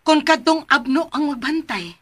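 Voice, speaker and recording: A woman's voice: high voice, animated, moderate pace. A woman with a Cebuano Filipino accent playing a deceitful, insistent young plotter in a radio drama. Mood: scheming, impatient. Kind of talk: Philippine radio drama acting.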